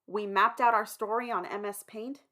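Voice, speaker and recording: Bright-voiced woman hosting casually. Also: mid-range voice, fairly even intonation, normal speed. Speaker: host, writer and director of an audio drama. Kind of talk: casual chatty address to listeners.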